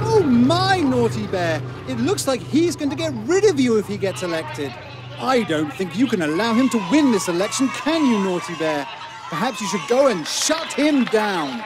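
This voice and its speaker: A man's voice: very high voice, exaggerated voice. A man playing a cheery, mock-kindly British narrator of a children's storybook video game, theatrical and sweetly condescending. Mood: mock alarm. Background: music.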